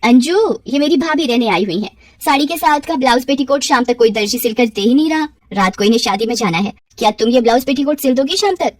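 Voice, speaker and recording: Woman voicing a chatty, kindly old neighbour auntie, high-pitched. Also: expressive, fast, few pauses. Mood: affectionate, chatty. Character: kindly, talkative elderly neighbour woman, helpful and motherly. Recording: roomy echo, distant from mic.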